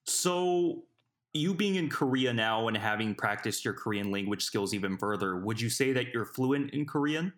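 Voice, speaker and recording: A man's voice: mid-range voice, animated, moderate pace, a bit bright. A man hosting an interview, asking questions and responding with frequent short affirmations. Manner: attentive, frequent backchannel. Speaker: podcast host.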